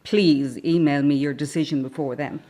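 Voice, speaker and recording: Actress as a brisk, formal businesswoman. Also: deep voice, fairly even intonation, mellow. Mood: cool, irritated. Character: brisk, formal corporate communications executive, polite but icy. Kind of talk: naturalistic radio drama acting.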